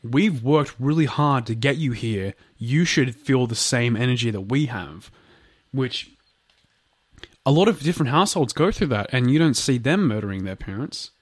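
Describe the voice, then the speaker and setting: A man's voice: medium pitch, animated, normal speed, breathy edge, no echo. A man co-hosting a casual true-crime podcast, a bit nasal from a blocked nose, rambling with lots of fillers and restarts. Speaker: podcast co-host.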